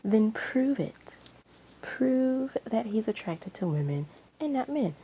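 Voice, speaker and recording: Smug mischief-maker for a story reading, voiced by a woman: raised pitch, taunting, slightly noisy recording. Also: lively intonation, slow, dark tone. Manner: taunting, smirking delivery.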